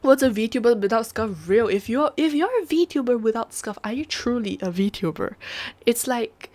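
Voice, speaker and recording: A woman's voice: on the high side, expressive, moderate pace, darkish tone. A young woman chatting casually on a live stream, sniffly from a runny nose, rambling and laughing at herself. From Singapore. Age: young adult. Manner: giggly, rambling, self-mocking. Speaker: VTuber streamer. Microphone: home streaming microphone.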